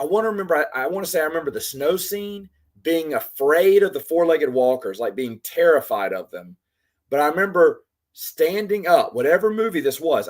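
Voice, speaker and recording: A man in his forties, hoarse from losing his voice, rambling in casual chat as host of a live Q&A and answering commenters by name. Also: fairly high pitch. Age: 40s. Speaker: livestream host.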